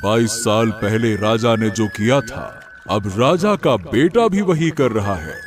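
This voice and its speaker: A man voicing a menacing ghost in a cartoon story, taunting with a drawn-out, ominous delivery. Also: fairly low pitch, lively intonation. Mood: menacing, gloating. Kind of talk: animated moral story dramatization.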